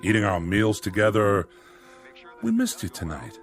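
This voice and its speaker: An actor playing a kindly, considerate family man in a radio drama, gentle and solicitous. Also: fairly low pitch, huge pitch swings, normal speed, soft background music. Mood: kindly, concerned.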